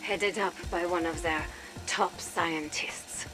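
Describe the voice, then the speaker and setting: Low-voiced, determined woman operative. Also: fairly even intonation, slow, over music, low-quality recording. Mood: urgent, confiding. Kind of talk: video game audio log acting.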